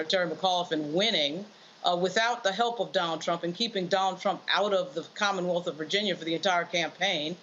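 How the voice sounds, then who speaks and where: A woman's voice: on the low side, a bit bright, muffled recording, a little hiss or hum. A woman giving off-the-cuff political analysis as a panel commentator, emphatic and repeating phrases for stress.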